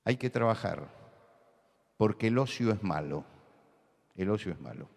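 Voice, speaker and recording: A man from Buenos Aires with a Rioplatense accent, preaching a sermon full of anecdotes, who repeats key words and rises to loud insistence. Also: lowish voice, expressive, unhurried, creaky, long reverb tail. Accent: Rioplatense Spanish. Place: church hall.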